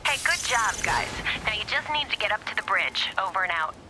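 A woman voicing a brisk, commanding military mission handler in a video game, giving crisp, businesslike orders. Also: mid-range voice, sing-song, background noise, lightly acted. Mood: urgent, directing. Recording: game music and gunfire behind.

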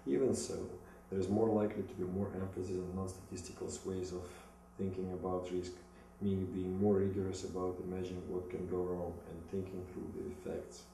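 A man in his thirties from Russia with a Russian accent, giving a halting, rehearsed presentation in English from notes. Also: low voice, little pitch movement, vocal fry, warm tone. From Moscow, Russia. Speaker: business school applicant. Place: small indoor room against plain wall. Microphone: distant camera mic. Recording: echoey room, distant mic, some noise.

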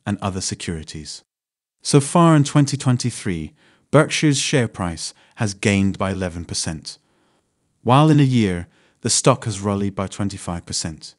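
A man narrating a scripted finance video in a steady read, lifting his voice at the start of each new point. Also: on the low side, no room sound, studio quality.

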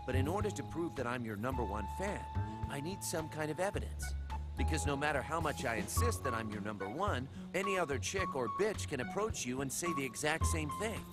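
A man's voice: medium pitch, animated, a little fast, close to an everyday voice. A man voicing a cocky, bemused punk assassin hero in a video game, with a wry, casual delivery. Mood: reading aloud. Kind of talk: video game cutscene acting. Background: music.

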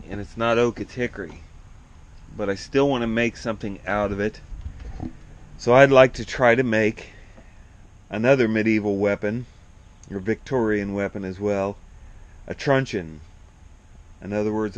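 Plainspoken man narrating outdoor woodwork. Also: lowish voice. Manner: relaxed, plainspoken, thinking aloud while working. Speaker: small farm vlogger. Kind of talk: casual how-to narration. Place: outdoors on a farm yard by a woodshed. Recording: dull lo-fi outdoor camera audio.

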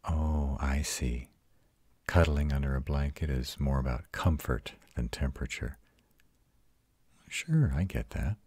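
Ancient male vampire, played by a man, intimate audio roleplay; bottomless bass, dry close sound, tender and reassuring. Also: no room sound, full character voice. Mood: soothing, affectionate. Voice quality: very deep, husky. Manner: soft, intimate, slow reassurance. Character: ancient arrogant male vampire tenderly comforting his mate. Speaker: voice actor.

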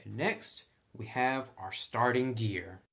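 A man's voice: mid-range voice, takes it slowly, fairly bright tone. A man hosting a casual tabletop roleplaying tutorial, talking informally and thinking aloud as he goes.

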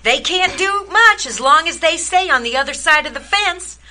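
An actress playing an anxious, protective wife in a radio play, on a noisy recording. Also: female voice, extremely high pitch, expressive, moderate pace, pushed and bright, full character voice. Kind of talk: community radio play acting.

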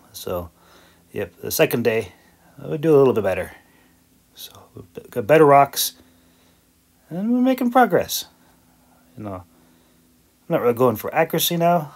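A man's voice: medium pitch, sing-song, a little slow, creaky, pauses often, slightly rough. A man giving a casual, rambling vlog voice-over in a hesitant, self-deprecating, chuckling way. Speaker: video creator and hobby audiobook narrator.

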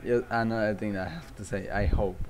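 A man's voice: lowish voice, fairly even intonation, fast talker, background noise. A man hosting a livestream, chatting casually and thinking aloud in a rambling way. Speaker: livestream host. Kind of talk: casual game-stream chatter.

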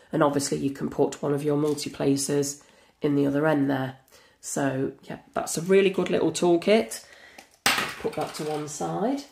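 A woman with a croaky voice from a cold, chatting eagerly through an unboxing. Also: deep voice, a little mellow. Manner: chatty and enthusiastic, frequent fillers. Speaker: crafting channel host. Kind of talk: casual unboxing chat. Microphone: camera over a tabletop.

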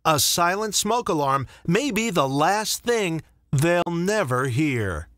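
A man's voice: on the high side, very animated, takes it slowly, fairly bright tone, no echo, studio quality. A man delivering scripted commercial narration as a polished voice-over announcer. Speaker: voice-over artist.

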